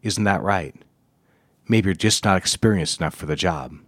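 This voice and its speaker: A man acts a cold, critical boss in a period office drama. His voice is on the low side and recorded dry. He speaks in clipped, formal phrases with a belittling condescension. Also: moderate pace, no room sound.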